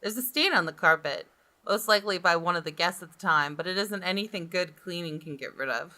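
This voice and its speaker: A woman gaming creator reading visual novel narration aloud, with expressive reactions. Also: lowish voice, a little fast. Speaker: gaming YouTuber.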